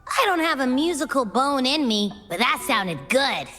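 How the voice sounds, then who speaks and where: A lively young girl from a video game. Her voice sits high and moves at an unhurried pace, casual and expressive, with light music behind it. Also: full character voice. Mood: curious, playful. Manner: casual, excitable.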